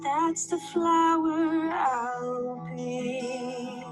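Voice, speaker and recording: A woman's voice: high voice, little pitch movement, drawn-out words. A woman singing a country folk song with guitar accompaniment.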